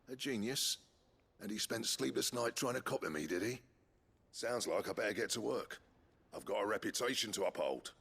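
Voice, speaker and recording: Proud stubborn old smith in a game, low voice, heavy fry, really husky and gruff. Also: male voice, a bit bright, heavily acted. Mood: smug, pleased. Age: older. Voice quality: raspy, gravelly. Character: proud stubborn older male master blacksmith. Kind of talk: dramatic video game dialogue.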